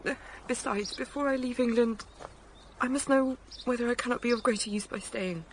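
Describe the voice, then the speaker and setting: An actress playing an earnest, emotional young Victorian governess, torn and defiant, in a period radio drama. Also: female voice, a little high, normal speed, a little hiss or hum, natural voice. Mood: anguished defiance. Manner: intense, emotional, formal period phrasing.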